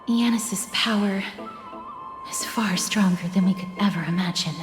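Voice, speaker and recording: An actress playing a composed, serious heroine in scripted fantasy game dialogue. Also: female voice, medium pitch, moderate pace, close to an everyday voice. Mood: grave. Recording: echoey hall reverb, faint music.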